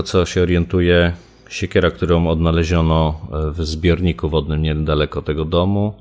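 A man with a very low voice talks through a criminal case as an interviewed commentator. His speech is unscripted and hesitant, often broken by filled pauses as he explains. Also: darkish tone.

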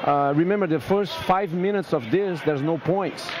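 A man commentating a grappling match as guest, explaining moves calmly with frequent fillers. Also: on the high side, moderate pace. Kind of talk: live fight commentary. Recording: noisy arena with crowd.

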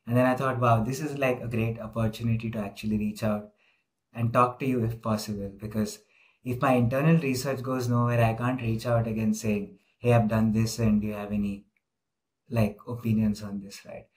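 A man's voice: medium pitch, little pitch movement, normal speed, darkish tone, rough recording. A man hosting an informal interview over a video call, talking casually with frequent fillers. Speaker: interviewer, host.

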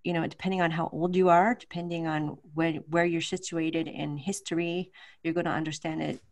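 A woman's voice: deep voice, little pitch movement, fairly quick. A middle-aged woman answering questions informally at an online talk, hesitant and searching for words as she thinks aloud. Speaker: guest lecturer answering questions. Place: home room with bookshelves, on a video call. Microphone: large desk microphone.

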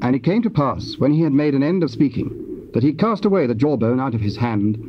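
A man giving a solemn, measured scripted narration of scripture. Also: mid-range voice, lively intonation. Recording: muffled, noisy old cassette transfer.

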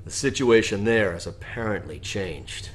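A low-voiced actor as a man who leads survivors after the apocalypse, worn down and guarded. He speaks tersely and broodingly, in audio drama style. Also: animated, moderate pace, close to an everyday voice. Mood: resolved. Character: weary, guarded male post-apocalyptic leader.

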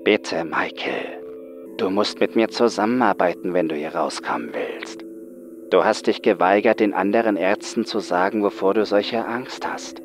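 A man voicing a calm, patient psychiatrist in a horror audiobook, soft-spoken, measured and coaxing. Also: low-pitched. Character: calm, patient male psychiatrist, older than his patient.

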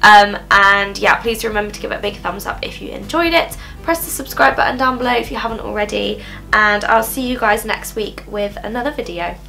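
Chatty young woman with a gravelly, bright voice. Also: mid-range voice, fairly quick. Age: young adult. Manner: rambling, casual, frequent "um". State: slightly nasal from a cold. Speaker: beauty vlogger. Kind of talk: chatty makeup tutorial. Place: bedroom. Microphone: camera in front at close range.

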